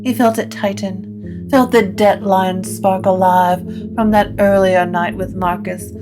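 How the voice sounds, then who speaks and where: Measured, slow-paced woman narrating supernatural fiction. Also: mid-range voice, steady tone, over music, poor audio. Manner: measured, literary storytelling.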